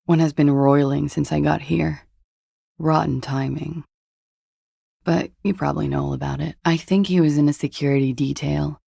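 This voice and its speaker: An actress playing a jaded, hard-partying young disco dancer in a video game, husky and languid, with a guarded, deadpan delivery. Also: female voice, low-pitched, moderate pace, no echo, clean studio sound, full character voice. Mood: guarded, flat. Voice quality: husky, gravelly with vocal fry. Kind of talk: video game voice acting, intimate dialogue.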